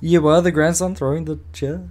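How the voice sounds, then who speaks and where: A man's voice: on the high side, fairly even intonation, a little fast, darkish tone. A man chatting casually on voice chat while playing a game, joking with friends and swearing when he dies. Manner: laid-back, laughs a lot, swears when he dies. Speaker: gamer streaming with friends on voice chat.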